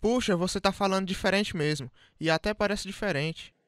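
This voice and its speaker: High, clear young kid brother. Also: male voice, fairly quick, fairly bright tone. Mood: curious, wistful. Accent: Brazilian. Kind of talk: scripted radio drama.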